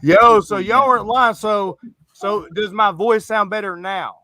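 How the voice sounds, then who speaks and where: A man's voice: high-pitched, fairly even intonation, unhurried, pushed and bright. A man hosting a live sports stream in a loose, slangy, rambling way. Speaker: host. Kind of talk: casual streaming chat.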